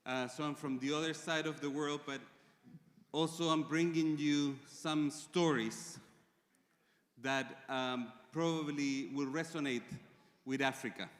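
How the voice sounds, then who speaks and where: A man from Chile with a Chilean Spanish accent, speaking English as a second language and giving a conference presentation with measured pauses and fillers. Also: fairly high pitch, fairly even intonation. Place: large conference hall. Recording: reverberant conference hall.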